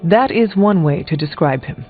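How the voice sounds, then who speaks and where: An actor playing a stoic, formal warrior hunter in a video game, speaking bluntly in a measured way. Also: male voice, sits high, animated, phone-quality sound. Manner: measured, formal, without contractions. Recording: thin band-limited audio, faint music.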